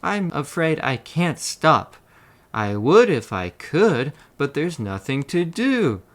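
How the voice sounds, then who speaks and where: A man's voice: fairly high pitch, sing-song, takes it slowly, fairly bright tone, pitched above the performer's own voice, heavily acted. A man voicing a big, apologetic, easily frightened elephant in a children's storybook. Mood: sad, apologetic.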